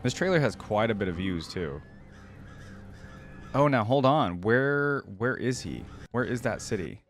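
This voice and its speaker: A man's voice: medium pitch, big pitch swings, unhurried, slight background noise. A man hosting a reaction video, talking casually to viewers, musing aloud and reading game text.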